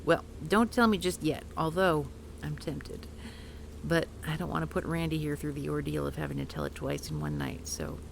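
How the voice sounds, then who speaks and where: Woman plays a confident middle-aged former academic for an audio drama, dry teasing wit, low and gravelly. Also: animated, moderate pace, background noise. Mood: wry. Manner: dry, teasing, takes charge, swears casually. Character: wry, self-assured disgraced former woman professor. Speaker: historical society leader. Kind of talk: scripted audio roleplay.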